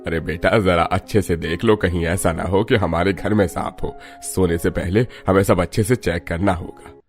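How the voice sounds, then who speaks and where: Cautious cartoon village father, male performer, low and measured, soft music underneath. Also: fairly even intonation, natural voice. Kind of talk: Hindi moral-story cartoon dubbing.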